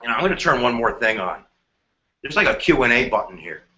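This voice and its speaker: A man's voice: mid-range voice, very animated, a little fast, bright, forceful tone. A middle-aged man teaching a history review on a live stream, playful and slangy. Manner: playful and slangy, jumping into goofy voices. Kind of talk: energetic live-stream lesson. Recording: dull echoey room sound.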